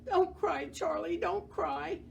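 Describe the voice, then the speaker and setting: A tender young child from a picture book, played by a woman reading aloud. The voice lifts high and speaks slowly, earnest and soothing, with emphatic comfort for a small pet. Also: vocal fry, recorded from a distance, highs cut off, a little hiss or hum, heavily acted. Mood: pleading, soothing.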